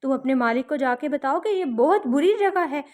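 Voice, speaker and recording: Woman playing a tiny fairy-tale girl with wings, high pitch, sweet, earnest. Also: expressive, brisk, a little mellow. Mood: earnest persuading. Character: tiny winged girl, kind, cheerful and self-assured.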